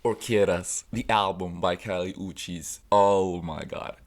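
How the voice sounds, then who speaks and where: Young Tunisian guy, Arabic first language, deep voice, casual music vlog chat full of fillers. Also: fairly even intonation, a little slow. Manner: chatty, loose, self-interrupting with fillers. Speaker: music vlogger. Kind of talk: casual music recommendations to camera.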